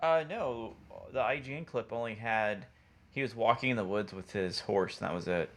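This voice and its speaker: Male co-host for a conversational podcast, on the low side in pitch. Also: moderate pace, a bit bright. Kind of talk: casual chat.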